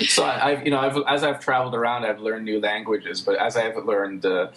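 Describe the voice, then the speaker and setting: A man from the United States speaks as a guest in a casual interview. His voice sits a little low and the delivery is relaxed and hesitant, with plenty of pauses and easy laughter. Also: slight background noise, poor audio.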